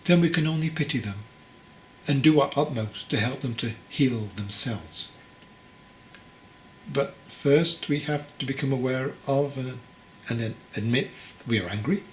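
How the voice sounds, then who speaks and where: A man voicing a wise, patient old monk master, teasing and instructive, in a measured delivery for a storybook. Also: medium pitch, close to an everyday voice. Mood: calm, instructive. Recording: clearly noisy recording.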